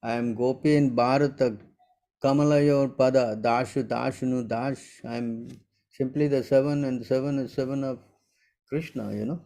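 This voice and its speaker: A man in his sixties with a mellow, soft-edged voice at an everyday middle pitch. He gives informal spiritual guidance over an online call and keeps pausing to ask whether his listeners follow. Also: steady tone, vocal fry. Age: 60s. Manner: keeps checking if listeners understand. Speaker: spiritual teacher answering questions. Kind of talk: informal devotional Q&A.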